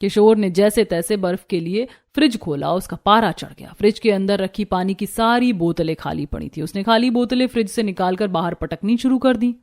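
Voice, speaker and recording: A woman's voice: mid-range voice, fairly quick, hardly any pauses, darkish tone. A woman narrating a scripted cartoon story.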